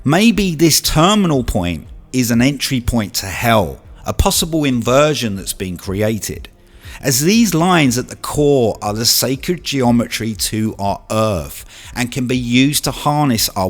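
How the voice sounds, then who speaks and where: Man narrating with lively, swinging intonation. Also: medium pitch, takes it slowly. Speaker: video narrator and presenter. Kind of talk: scripted documentary-style narration. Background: music.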